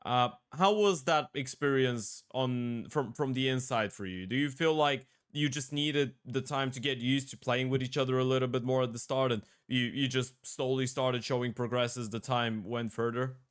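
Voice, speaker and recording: A man's voice: medium pitch, moderate pace, few pauses, smooth. A man hosting a gaming interview show, asking long, rambling questions with frequent restarts. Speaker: podcast host, interviewer.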